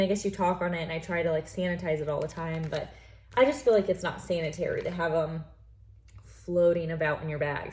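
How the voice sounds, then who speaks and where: A woman's voice: fairly low pitch, darkish tone. A young woman from the United States chatting casually through her bag in a vlog, rambling with lots of fillers and sounding congested from allergies. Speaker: lifestyle vlogger.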